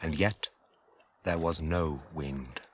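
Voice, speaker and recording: A man narrating a 1980s radio drama as a scripted story, measured in delivery. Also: extremely low pitch, a bit bright, a little hiss or hum. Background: dramatic music.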